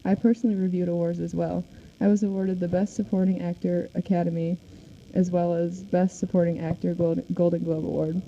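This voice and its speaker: A woman's voice: on the low side, flat delivery, fairly quick, natural voice. A woman playing a male 1940s singer and film star in a radio interview, reading out the answers in a recited way. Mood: composed, recited. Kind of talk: amateur scripted radio show. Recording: dull recording with faint noise.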